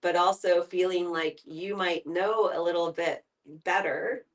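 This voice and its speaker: A woman's voice: fairly low pitch, a little slow. A woman hosting a casual group discussion, with a giggly, informal way of talking. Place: live group gathering in a room. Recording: distant, off-mic pickup.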